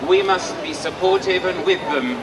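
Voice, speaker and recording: Man speaking at an outdoor rally, high pitch, slow and deliberate, distant echoey PA sound. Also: heavy background noise. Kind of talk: public speech. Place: large outdoor square. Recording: distant PA speech in a loud echoing crowd.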